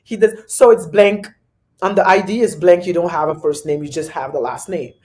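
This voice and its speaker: A guest from Europe with a thick French accent, chatting casually on a podcast and telling animated stories full of "you know" fillers. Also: medium pitch, normal speed. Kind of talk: casual conversation.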